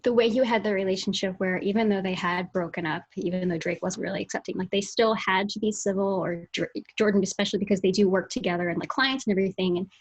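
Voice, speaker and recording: A woman's voice: mid-range voice, quick pace, few pauses, husky, poor audio. A woman co-hosting a casual book chat over a video call, hesitant and often trailing off mid-thought. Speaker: podcast co-host. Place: indoors in a home room, on a video call. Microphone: video call microphone.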